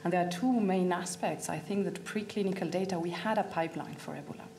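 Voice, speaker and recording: A woman's voice: lowish voice, fast talker, a little mellow. A woman speaking as an expert panelist, giving an unscripted answer at a table microphone in an auditorium. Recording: faint hum and noise.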